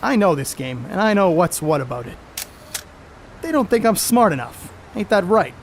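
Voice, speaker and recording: Male actor as boastful 1930s ballplayer, high voice, folksy needling slang, amateur stage play, faint background noise. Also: sing-song, normal speed. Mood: indignant, insistent. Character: Babe Ruth, brash, boastful, crude, star ballplayer.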